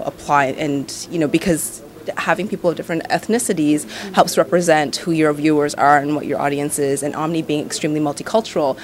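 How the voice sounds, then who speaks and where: A woman in her thirties, a TV host from Nova Scotia, answering interview questions in a relaxed, chatty way full of "you know" and "um". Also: low voice. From Canada, Nova Scotia.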